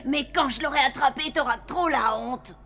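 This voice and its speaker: A woman voicing a stubborn, excitable little zombie boy in a cartoon, childish and insistent. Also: high-pitched, normal speed, noisy background. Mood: defiant. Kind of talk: animated cartoon dub acting. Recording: music behind the voice.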